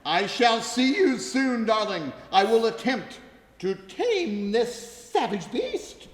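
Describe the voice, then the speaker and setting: A man's voice: very high-pitched, very animated, a little hiss or hum, exaggerated voice. A man playing a smug, pompous suitor in a comic radio play, condescending and grandly theatrical. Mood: grandiose, gallant. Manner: pompous, drawn-out delivery. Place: live on stage in a ballroom. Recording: echoey ballroom hall, live stage.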